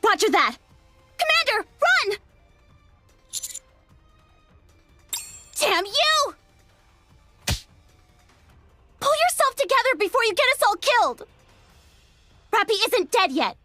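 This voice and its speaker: A woman's voice: very high-pitched, sing-song, moderate pace, sharp tone, faint music behind, heavily acted. A woman voicing a feisty, irritable young female android soldier in an anime game, brash and exasperated. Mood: urgent, forceful.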